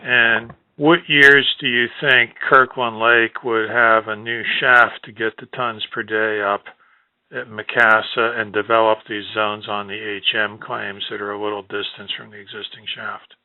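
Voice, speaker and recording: A man's voice: fairly low pitch, flat delivery, measured pace, no echo. Male analyst on a thin phone line. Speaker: analyst caller. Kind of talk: asking questions on earnings call. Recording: dry, narrow phone line.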